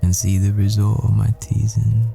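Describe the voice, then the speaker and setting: Tender doomed boyfriend played by a male actor, ASMR roleplay: gravelly, halting murmur, faint music behind. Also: lowish voice, flat delivery, dark tone. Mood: tender, teasing. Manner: breathy, halting, intimate murmuring. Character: desperate tender boyfriend, a math professor, facing the world's end.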